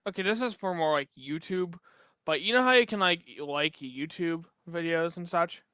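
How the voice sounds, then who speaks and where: Young guy w a high bright smooth voice, kinda hesitant. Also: moderate pace, no room sound. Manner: hesitant, explains with restarts. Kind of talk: casual chat.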